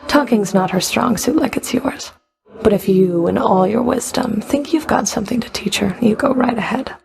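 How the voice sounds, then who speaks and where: Sardonic fury boss lady from a video game, super creaky gravelly voice, bossy, curt n guarded. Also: a little high, normal speed, a little mellow, low-quality recording. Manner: curt, dry, commanding, grudging. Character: stern, sardonic underworld Fury overseer, guarded adult woman.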